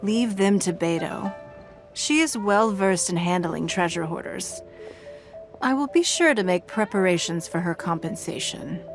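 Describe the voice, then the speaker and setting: A dignified female leader in a video game, with a slightly low voice. She is composed, formal and courteous, speaking with measured authority over music. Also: lively intonation. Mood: calm, gracious.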